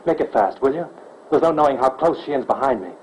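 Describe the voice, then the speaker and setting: An actor playing a devoted, earnest young male sleuth who jokes gently, in a 1930s film. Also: medium pitch, expressive, quick pace, natural voice, rough recording. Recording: old film soundtrack with faint hiss.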